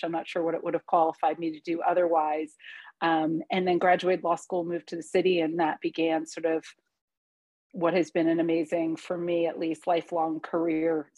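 A woman in her forties whose first language was Hungarian speaks in a lowish voice, recorded dry and close. She answers interview questions candidly and reflectively, often restarting sentences with fillers. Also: darkish tone, no echo. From United States. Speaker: podcast guest. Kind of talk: conversational interview.

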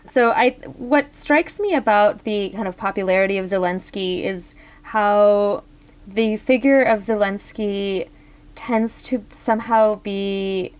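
A woman from Ukraine speaking as a podcast panelist in a chatty way, with frequent fillers. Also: mid-range voice. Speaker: professor, panelist. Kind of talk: casual panel discussion.

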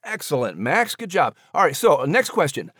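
A male narrator reading a storybook aloud and quizzing listeners in a friendly way. His voice moves through a wide, expressive range of pitch. The recording is close and dry. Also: normal speed, no echo. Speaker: narrator and host.

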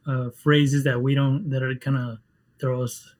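A man whose first language is Spanish, a game developer talking casually in an interview, halting with many restarts and fillers. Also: medium pitch, flat delivery, a little mellow, a little hiss or hum.

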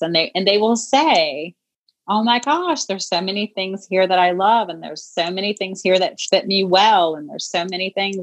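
A woman in her fifties talking as a guest in a casual interview, chatty and reflective, with many fillers. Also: fairly low pitch. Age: 50s. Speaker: podcast guest, boutique owner.

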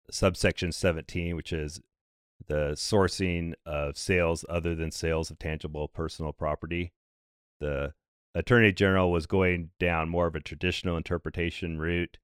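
Deep, slightly hoarse male podcast host. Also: no room sound. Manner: brisk, conversational, light dry humor. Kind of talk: scripted podcast explainer.

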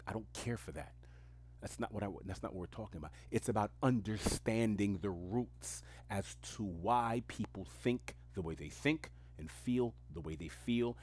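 A man with a very low, deep voice talks on his own podcast. He rambles in a reflective stream of consciousness and leans on repeated phrases for emphasis. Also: darkish tone, breathy edge. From New York City, Queens. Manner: reflective, emphatic, rhetorical repetition. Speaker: podcast host. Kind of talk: solo stream-of-consciousness monologue.

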